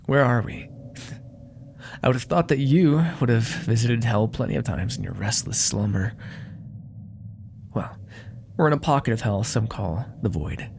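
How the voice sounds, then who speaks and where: Guy doing a smug creaky demon for asmr roleplay, coaxing n condescending, voice swoops around a lot. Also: medium pitch, expressive, moderate pace, pauses often, a little mellow, a little hiss or hum. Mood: smug, businesslike. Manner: theatrical, condescending, coaxing, with whispered asides. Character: suave, menacing, proud male demon dealmaker.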